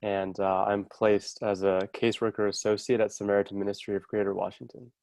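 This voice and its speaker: A man's voice: on the low side, flat delivery, brisk, darkish tone, no echo. A young man in his twenties, a recent college graduate volunteer, answering thoughtfully and hedging with "I guess" and "sort of". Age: 20s. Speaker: service corps volunteer. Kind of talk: reflective answers.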